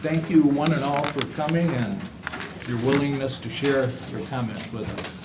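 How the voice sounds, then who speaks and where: Male board chair, medium pitch, polite and formal. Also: moderate pace, heavy background noise. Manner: polite, formal, with filler hesitations. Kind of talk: chairing a meeting. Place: public meeting room.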